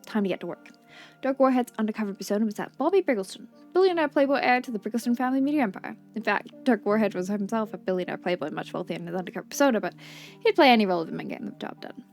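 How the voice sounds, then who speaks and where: A woman's voice: medium pitch, animated, racing, darkish tone, natural voice. Woman reading as dramatic pulp spy narrator. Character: excitable announcer of a pulpy spy book club. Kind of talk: dramatic pulp storytelling read aloud. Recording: game music behind the voice.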